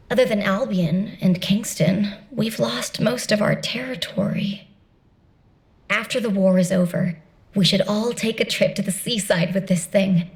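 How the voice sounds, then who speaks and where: A chatty young soldier played by a woman briefs a comrade in a video game. She is easygoing and musing, with a mid-pitched voice and a conversational delivery. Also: natural voice, poor audio.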